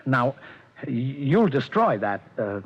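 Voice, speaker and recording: A jittery, stammering businessman from an old radio thriller, his husky voice swooping up in anxious pleas. The sound is dull and lo-fi, like a vintage broadcast. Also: mid-range voice, big pitch swings, takes it slowly, full character voice. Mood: nervous. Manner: stammering, nervous, pleading. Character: nervous stammering male businessman, desperate. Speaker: narrator and lead. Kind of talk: 1940s radio drama acting.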